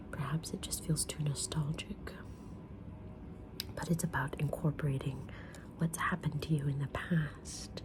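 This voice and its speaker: A woman whispering softly in an ASMR roleplay as a kind, mysterious witch reading tarot, with a gentle, soothing delivery. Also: low-pitched, low-quality recording. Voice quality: breathy unvoiced whisper. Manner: gentle, soothing, unhurried fortune-teller delivery. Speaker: ASMR creator playing a tarot reader.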